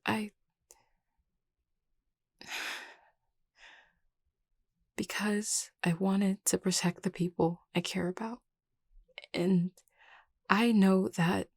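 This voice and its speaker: A woman voicing an anxious, self-doubting young vampire leader in an audio roleplay, stammering hesitantly and often dropping to a breathy murmur. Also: mid-range voice, fairly even intonation, drawn-out words, long pauses, no echo, studio quality, heavily acted. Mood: guilty, earnest. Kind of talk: intimate audio roleplay acting.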